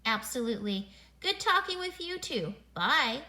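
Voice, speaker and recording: A kindly animal mother in a storybook, voiced by a woman reader. She is composed and practical, reassuring in a bright, fairly high voice. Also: sing-song, unhurried.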